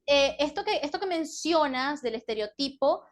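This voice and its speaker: A woman's voice: sits high, lively intonation, moderate pace. A Latin American woman hosting a casual interview, prompting her guest with friendly questions. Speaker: podcast host.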